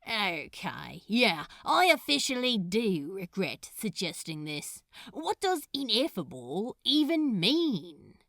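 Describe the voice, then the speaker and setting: A woman's voice: mid-range voice, big pitch swings, slow, hardly any pauses, a bit bright, no room sound, studio quality, exaggerated voice. A woman voicing a teasing, grumbling male demon with a casual, sly drawl, in a fan fiction reading. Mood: grumbling, reluctant.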